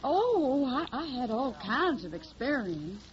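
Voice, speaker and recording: A woman's voice: sits high, sing-song, slow, exaggerated voice. A woman in an old radio drama playing a young woman who poses as a naive country girl from Tennessee, with a folksy Southern drawl and a feisty, plain-spoken manner. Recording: dull lo-fi old radio recording.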